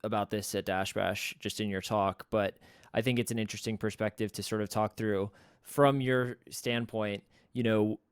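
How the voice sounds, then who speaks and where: A man's voice: fairly low pitch, fairly even intonation, moderate pace, smooth, no echo, studio quality. A man hosting an interview, asking long, meandering questions with restarts. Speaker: host, interviewer. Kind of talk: podcast interview.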